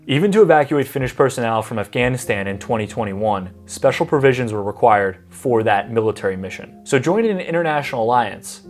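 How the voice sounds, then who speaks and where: A man's voice: medium pitch, a little mellow. A young man giving a scripted commentary vlog with wry, sarcastic asides. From United States. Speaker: vlogger.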